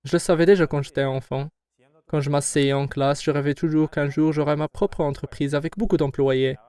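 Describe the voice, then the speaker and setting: A man in his forties answers interview questions as a company founder, in a measured, formal, self-assured way that turns slightly defensive. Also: a little high, fairly even intonation, a little mellow, smooth, no echo. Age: 40s.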